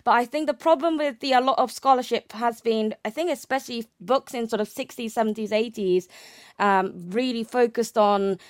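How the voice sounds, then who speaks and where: A woman's voice: a little high, normal speed, clean tone, no room sound, studio quality. A young woman from Japan talking conversationally as an academic guest, explaining things with hedged enthusiasm. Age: young adult. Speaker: academic guest, naval history researcher.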